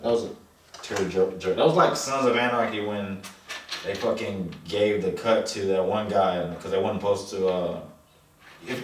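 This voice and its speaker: A man chatting casually and unscripted as a film review co-host, swearing and using slang, repeating phrases for emphasis. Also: lowish voice. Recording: echoey room, distant mic, faint noise.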